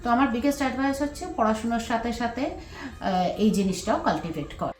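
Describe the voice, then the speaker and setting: An older woman with a Bengali accent answering interview questions conversationally, pausing to find words and mixing in English. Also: fairly high pitch, lively intonation, faint music behind. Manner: hesitant pauses, English words mixed in. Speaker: guest, doctor. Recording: roomy, echoing voice pickup.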